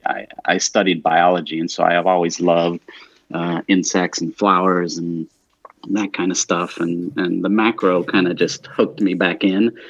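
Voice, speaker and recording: Deep-voiced middle-aged man, dry muffled sound. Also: vocal fry, a bit bright, no echo. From Latin America. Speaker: podcast guest. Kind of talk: casual conversational storytelling. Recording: dull, muffled band-limited audio.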